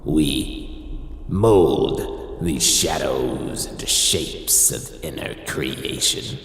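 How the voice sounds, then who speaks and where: Very deep, gravelly, ominous male narrator. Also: very slow, reverberant, big-room sound. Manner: hushed, ominous. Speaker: show host narrator. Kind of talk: scripted narration.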